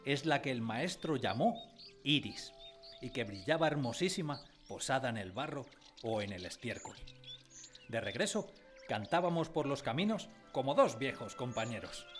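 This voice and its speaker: A man's voice: medium pitch, lively intonation, normal speed, lightly acted. An actor narrating a radio drama as a man recalling his village childhood, wistfully, in a literary style. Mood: nostalgic. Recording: music behind the voice.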